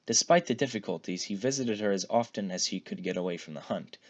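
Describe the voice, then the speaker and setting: A man reading fan fiction aloud as an expressive narrated story. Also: on the low side, fast, muffled recording. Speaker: fan fiction podfic reader.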